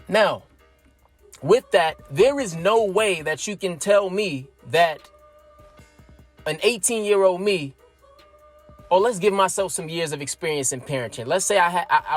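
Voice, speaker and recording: A thirty-year-old man talks straight to his viewers in a fairly high voice, building his argument point by point and tagging it with quick check-ins. Soft music plays faintly underneath. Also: lively intonation. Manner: emphatic, explains step by step, keeps asking "right?". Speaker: vlogger. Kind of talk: casual opinion monologue.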